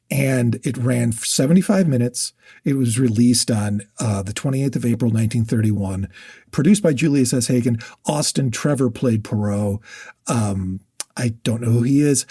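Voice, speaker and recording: A man's voice: medium pitch, warm tone, husky, no echo, clean studio sound. A man co-hosting a podcast, casually discussing books and sharing researched facts, often restarting and correcting himself mid-sentence. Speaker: podcast co-host.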